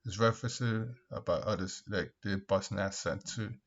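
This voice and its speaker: Male video commentator, lowish and raspy, emphatic but halting, repeats points, dull lo-fi sound. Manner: repetitive, emphatic, halting with restarts. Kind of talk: casual opinion commentary, reacting to comments.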